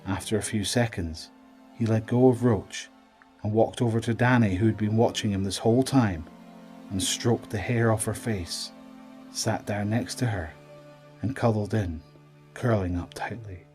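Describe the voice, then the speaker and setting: Low-voiced man narrating audio drama. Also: steady tone, moderate pace, darkish tone, faint music behind.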